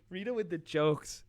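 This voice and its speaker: A man's voice: sits high, slight background noise. A young man hosting a casual live online show, rambling and playful, laughing often as he reads out viewer comments. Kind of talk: casual live-stream chat.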